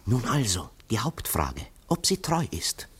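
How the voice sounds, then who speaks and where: A man's voice: mid-range voice, wildly swooping pitch, a little slow, husky, heavily acted. A male actor playing a wry, curious friend looking on sceptically in a classic German radio play, ironic and probing.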